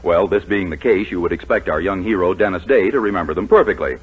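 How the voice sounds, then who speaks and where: A man's voice: sits low, fast, breathy edge. An American man announcing and selling on a 1940s radio show, with polished, emphatic delivery. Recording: muffled old 1940s radio broadcast.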